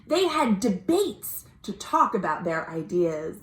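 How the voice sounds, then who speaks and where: Woman, children's storytime read-aloud, very animated pitch, unhurried pace, expressive, direct address to young listeners. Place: indoors at home. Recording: roomy, echoey home recording.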